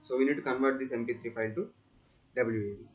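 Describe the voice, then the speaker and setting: A man narrates a step-by-step software tutorial as a student presenter, in halting, repetitive instructional phrases. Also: mid-range voice, steady tone, lo-fi sound, soft background music.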